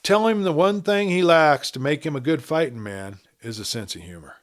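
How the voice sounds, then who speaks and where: A man's voice: fairly high pitch, lively intonation, normal speed, voice pushed up, lightly acted. A man voicing a cocky, easygoing young outlaw with a teasing, jaunty way of talking. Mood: teasing, easy.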